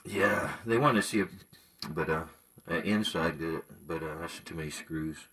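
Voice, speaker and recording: A man with a low voice talks casually as a radio hobbyist. He is laid-back and colloquial, speaking in everyday words about equipment. The pitch sits well down in the male range. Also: normal speed, fairly bright tone, breathy edge.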